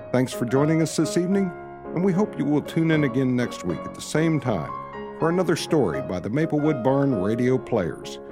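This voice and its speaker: A man reading scripted closing credits as the announcer of a radio drama show. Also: mid-range voice. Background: music.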